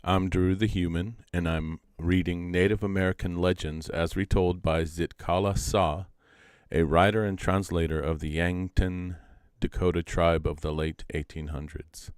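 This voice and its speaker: A man with a low voice narrates a storybook aloud. He is measured and deliberate, with expressive delivery, and the sound is close and dry. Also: smooth, no room sound.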